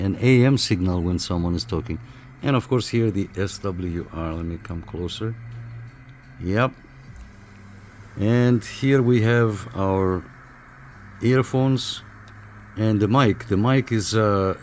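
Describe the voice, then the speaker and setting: A man giving a casual hands-on equipment review in a halting, informal, explanatory way. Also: lowish voice, darkish tone, a little hiss or hum. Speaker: radio hobbyist reviewer. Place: small apartment room.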